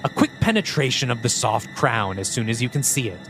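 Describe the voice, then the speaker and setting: A man's voice: mid-range voice, very animated, brisk, over music, natural voice. A man voicing a mocking male demon who has possessed a priest and taunts a congregation with theatrical flair. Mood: preaching.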